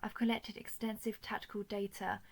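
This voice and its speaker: A female robot soldier, acted by a woman, speaks with a fry-edged voice at a medium pitch. She is formal, measured and emotionless, yet dutiful and protective as she addresses the listener in an audio roleplay. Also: flat delivery. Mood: calm, reassuring.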